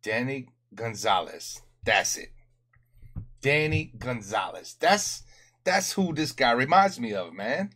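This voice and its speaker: A middle-aged man hosting a music reaction, talking through a headset at home with excited exclamations, his voice worn and going hoarse. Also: mid-range voice, slow pace. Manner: animated, enthusiastic exclamations. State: losing his voice, clearing throat. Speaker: reaction channel host. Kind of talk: casual music reaction commentary. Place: home room, indoors.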